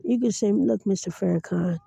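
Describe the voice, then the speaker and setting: A woman's voice: medium pitch, fairly quick, vocal fry, mellow, slightly rough, no echo. A woman hosting a podcast in solo commentary, speaking in an earnest, preachy way straight to her listeners. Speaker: podcast host.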